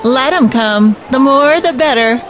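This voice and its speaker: A woman's voice: high voice, measured pace, fairly bright tone, slight background noise, rough recording. A woman playing a proud, cheerful garden designer in an audio drama, self-satisfied and friendly.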